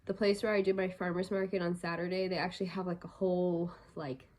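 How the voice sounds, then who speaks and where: A woman's voice: on the low side, level tone, quick pace, darkish tone. Chatty young woman, a bit stuffed up. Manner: rambling, filler-heavy talk. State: a little stuffed up and congested. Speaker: crochet vlogger. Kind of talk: casual vlog chat.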